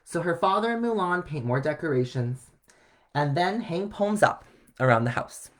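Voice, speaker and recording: A man reading a children's story aloud in an expressive way. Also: on the high side, normal speed. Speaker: storybook reader.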